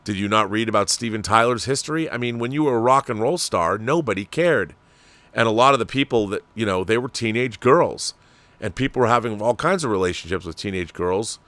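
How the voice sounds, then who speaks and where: Low-voiced American man, close dry sound. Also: animated, a little fast, fairly bright tone, no echo. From Washington state, United States. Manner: conversational and discursive, with rhetorical questions. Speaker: livestream host. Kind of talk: casual opinionated commentary, reading and answering viewer messages.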